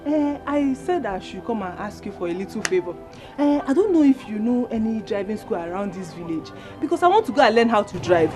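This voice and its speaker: A woman with a Nigerian accent playing an excitable young wife who giggles and brags. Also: mid-range voice, fairly quick, few pauses, music in the background, natural voice. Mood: pleading. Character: giddy young wife bragging about a car.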